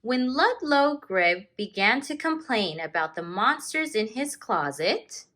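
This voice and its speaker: A woman with a high, bright voice speaks as a teacher sharing a story with young children. She reads expressively and takes her time, with lively swings in pitch and deliberate emphasis on key words. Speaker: teacher reading a picture book aloud.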